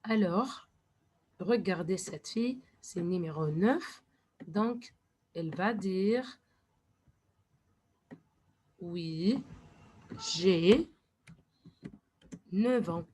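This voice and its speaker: A woman teaching French to young learners, speaking slowly and deliberately and sometimes switching to English to explain. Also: lowish voice, expressive, darkish tone, slightly rough. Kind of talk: classroom lesson.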